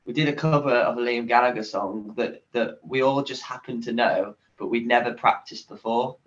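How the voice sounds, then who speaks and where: A young man, a band member in an interview who chips in with short relaxed comments. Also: medium pitch, moderate pace. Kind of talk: casual interview chat. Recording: dull mic with faint room noise.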